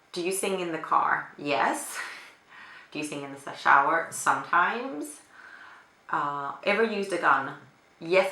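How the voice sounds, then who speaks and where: A young woman from Finland, answering questions in a relaxed, chatty way at home, with lots of fillers and small laughs. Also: deep voice. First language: Finnish. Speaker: vlogger. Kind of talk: casual chat answering a question tag. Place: apartment room. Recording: echoey home room.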